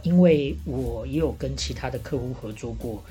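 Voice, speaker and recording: A woman's voice: a bass voice, normal speed, warm tone, soft background music, low-quality recording. A woman with a Taiwanese Mandarin accent chatting casually as a podcast host, playing a bartender who gives analytical, bantering advice. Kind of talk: casual chat, giving advice.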